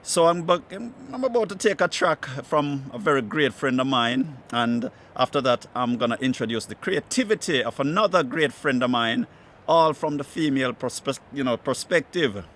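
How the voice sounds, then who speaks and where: A man's voice: mid-range voice, lively intonation, moderate pace, fairly bright tone. Guy hosting a music show, chatty and laid back, bit of street noise behind him. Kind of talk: casual music show presenting.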